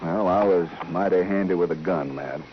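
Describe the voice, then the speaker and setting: A man's voice: deep voice, normal speed, lightly acted. An actor playing an anxious, proud reformed gunfighter and family man in an old-time radio western, with folksy, plain-spoken cowboy speech. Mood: uneasy, confessing. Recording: muffled, noisy old radio recording.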